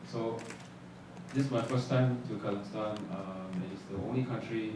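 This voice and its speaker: A man, an investor on a panel, introducing himself in a relaxed, joking way with pauses. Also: fairly low pitch. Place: conference hall. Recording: echoey hall from far off, noisy.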